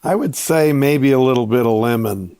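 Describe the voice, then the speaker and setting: A man's voice: mid-range voice, normal speed, dark tone, clear, resonant tone, no room sound, studio quality. A man speaking as a podcast guest in casual interview chat, easygoing and polite. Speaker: podcast guest, winery owner.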